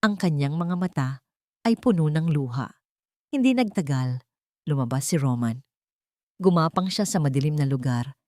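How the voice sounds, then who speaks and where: Woman, audiobook narrator, scripted dramatic storytelling, lower-pitched voice, close dry sound. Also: animated, normal speed, long pauses, darkish tone, dry recording.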